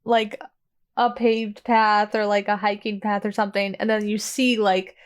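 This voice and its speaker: A woman's voice: mid-range voice, little pitch movement, moderate pace. A woman playing a tabletop role-playing game, chatting casually as she explains things. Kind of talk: casual chat.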